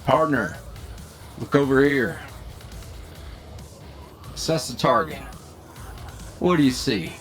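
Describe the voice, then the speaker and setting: A man's voice: mid-range voice, slow pace, pauses often, soft background music, close to an everyday voice. A man playing a cocky, seasoned demonic hunter who teaches an apprentice in a folksy drawl, in an audio roleplay. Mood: instructive.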